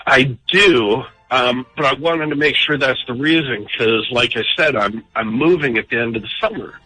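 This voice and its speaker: A man's voice: mid-range voice, normal speed, bright, forceful tone, breathy edge, low-quality recording. A man calling in to a radio show, telling a personal story casually in a hesitant, self-deprecating way. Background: background music.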